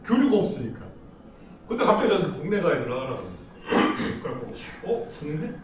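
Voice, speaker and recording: A man's voice: a little high, sing-song, fairly quick, recorded from a distance, a little hiss or hum. A middle-aged man from Seoul giving a casual, chatty lecture, telling stories with frequent laughs. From Seoul, South Korea. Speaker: lecture speaker. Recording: echoey hall, speaker off-mic.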